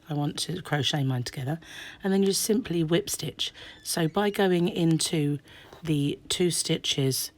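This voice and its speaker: Woman, low voice, slightly croaky, chatty tutorial, soft asides. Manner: chatty, dips to soft half-whispers while working. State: croaky sore throat. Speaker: crochet teacher. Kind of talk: hands-on craft tutorial.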